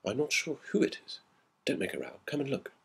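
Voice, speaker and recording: A man voicing a frightened, secretive schoolboy in a storybook reading, hushed and hesitant. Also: mid-range voice, sing-song, fairly quick, vocal fry, slightly rough, voice pushed up, full character voice. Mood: urgent, alarmed. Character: frightened, secretive schoolboy witness, urgent and uneasy.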